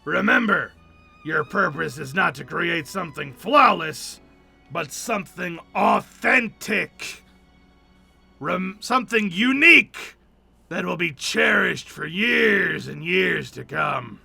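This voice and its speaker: A man voicing a solemn, wise guild mentor, delivering game dialogue in a slow, emphatic, declamatory manner. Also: sits high, animated, creaky, sharp tone, husky, faint music behind, full character voice. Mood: solemn, booming. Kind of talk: game dialogue read aloud.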